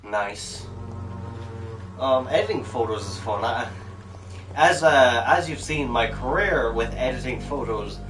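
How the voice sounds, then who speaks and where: A man's voice: medium pitch, animated, a little slow, fairly bright tone, far from the mic. Irish-born male streamer, loose rambling banter, roomy off-mic sound with game music underneath. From Ireland. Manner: rambling, joking, chatty. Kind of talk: casual gameplay commentary.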